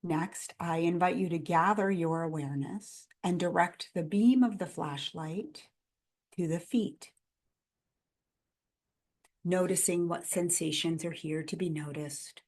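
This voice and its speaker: A woman guiding a body scan meditation in a gentle, calm, instructional manner, in measured phrases with pauses between them. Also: on the low side. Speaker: meditation guide.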